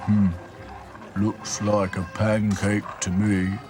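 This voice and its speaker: A man's voice: on the low side, monotone, slow pace, over music. A man voicing a glum, puzzled male sack doll in a storybook, asking plaintive questions.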